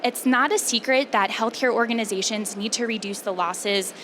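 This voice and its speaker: A woman, a company vice president, giving a conference pitch in a chatty, conversational way. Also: normal speed, a bit bright, slight background noise.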